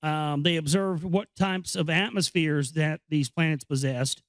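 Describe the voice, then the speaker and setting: A man hosting an informal read-aloud, explaining and commenting in a halting, conversational way. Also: a little high, dry recording. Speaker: host reading a book aloud with commentary. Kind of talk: informal reading with off-the-cuff commentary.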